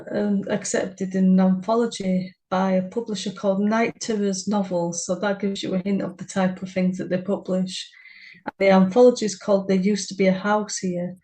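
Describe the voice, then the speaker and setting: Mellow-voiced woman reading her poem. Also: mid-range voice. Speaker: podcast co-host and poet. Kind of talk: reading her own poem and casual chat.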